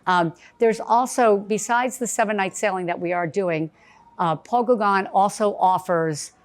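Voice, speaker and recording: A woman's voice: medium pitch, a little slow. A woman travel agent hosting a live event, chatty and bubbly, with a big cheerleader voice. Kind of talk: casual event presentation. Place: bistro event space.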